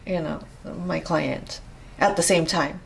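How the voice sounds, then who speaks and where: Middle-aged woman from Japan, Japanese accent, slow and halting interview guest. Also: low-pitched, vocal fry. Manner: hesitant, many fillers and restarts. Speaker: interview guest, rope bondage practitioner. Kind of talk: casual interview chat.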